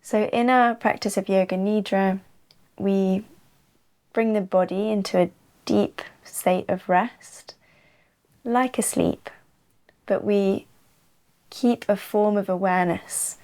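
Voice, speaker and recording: Very slow-speaking woman guiding deep relaxation. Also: fairly even intonation, darkish tone. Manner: unhurried, soothing delivery with long pauses. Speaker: yoga nidra teacher. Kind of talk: slow guided relaxation narration.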